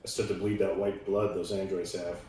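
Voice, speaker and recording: A man's voice: sits low, little pitch movement, fairly quick. A man chatting casually as a player in a tabletop roleplaying game. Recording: echoey room, music underneath.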